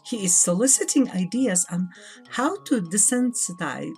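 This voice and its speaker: A woman chats casually during a let's play and reads game text aloud in a measured, lightly theatrical way. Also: mid-range voice, expressive, a little mellow. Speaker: guest co-player. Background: background game music.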